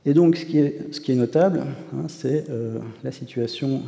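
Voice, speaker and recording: A man's voice: mid-range voice, moderate pace, vocal fry, warm tone. Hesitant man lecturing in a hall. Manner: hesitant, many "euh" fillers and restarts. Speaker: university professor giving a conference talk. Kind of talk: academic lecture with slides. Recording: long reverb of a lecture hall.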